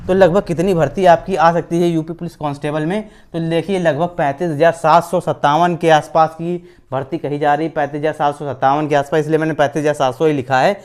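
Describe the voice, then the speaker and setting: A man speaks in a smooth, resonant voice that sits a little high. He explains things informally as an online host, going back over key phrases to stress them and regularly checking that listeners follow. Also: steady tone, fast talker, few pauses, darkish tone. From India. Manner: repeats phrases for emphasis, often checks in with listeners. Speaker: host of an exam-news channel. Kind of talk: informal explainer of job recruitment updates.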